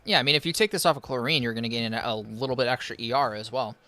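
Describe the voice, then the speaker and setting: A man's voice: mid-range voice, fast, pushed and bright, no room sound, faint music behind. A man live-streaming a game, giving casual advice and answering chat in a rambling way full of fillers and restarts. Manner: answers viewer chat between gameplay. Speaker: streamer reviewing viewer game accounts.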